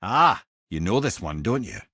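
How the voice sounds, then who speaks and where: A man's voice: fairly low pitch, moderate pace, slightly rough, dry recording, clean studio sound, full character voice. A man voicing a witty, worldly old sage for a video game, telling tales with a Scottish accent in an eloquent, avuncular way. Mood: wry, conversational. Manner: wry, eloquent, avuncular, expressive. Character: witty old severed talking head, worldly storyteller sage. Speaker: companion and storyteller. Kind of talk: video game voice acting, storytelling.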